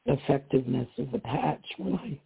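A hoarse woman calling in to a radio show, speaking slowly and hesitantly with many pauses. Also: rumbling low, big pitch swings. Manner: slow, hesitant, many ums. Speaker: caller. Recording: tinny phone-line sound.

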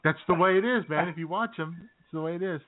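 A man's voice: fairly high pitch, fast. A man co-hosting a comedy radio show, joking in a mock-serious way and laughing often. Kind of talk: casual comedy banter. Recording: tinny phone-like sound.

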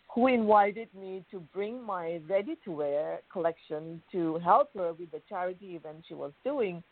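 A woman from Thailand, a guest on a radio interview, telling her story in a chatty, enthusiastic way. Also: on the low side. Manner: chatty, enthusiastic, frequent "you know". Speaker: guest, bridal designer. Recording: phone-like narrow band.

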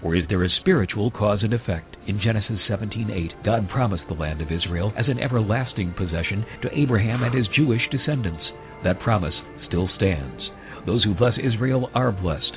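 A man reading a dramatic, scripted radio advertisement in a grave, measured manner. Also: fairly low pitch, lively intonation. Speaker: commercial voice-over announcer. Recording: tinny broadcast with music behind.

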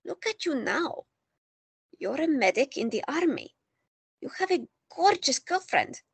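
An actress playing an elderly woman in her nineties, a proud former resistance fighter, speaking firmly and warmly. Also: sits high, sing-song, measured pace, vocal fry, no echo, full character voice. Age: older. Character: elderly woman, war veteran, proud and firm. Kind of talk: audio drama acting.

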